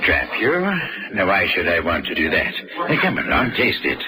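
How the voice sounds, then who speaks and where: A man in a vintage radio drama playing a hearty, probing banker, genial and insistent, with halting starts. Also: deep voice, very animated, a crowd in the background. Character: hearty, probing banker and wine-loving host.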